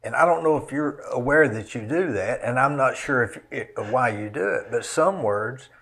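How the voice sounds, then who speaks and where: A man hosting a casual interview, putting reflective questions in a halting, conversational way. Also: mid-range voice, lively intonation, a bit bright. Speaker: interview host.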